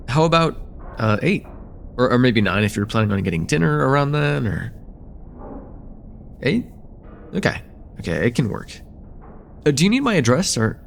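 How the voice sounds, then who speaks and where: A man's voice: medium pitch, big pitch swings, normal speed, vocal fry, pauses often, slight background noise. A young man playing a friendly, slightly nervous college student in a casual, stammering slice-of-life ASMR roleplay chat. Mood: shy, hopeful. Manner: hesitant, stammering, self-deprecating, casual.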